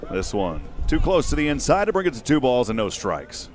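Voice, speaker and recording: A man in his thirties calling a baseball game live in short, measured phrases, speaking into a headset mic outdoors. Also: mid-range voice, very animated, soft background music. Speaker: radio play-by-play baseball announcer. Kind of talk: live sports commentary. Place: outdoors at a windy ballpark. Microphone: headset boom mic.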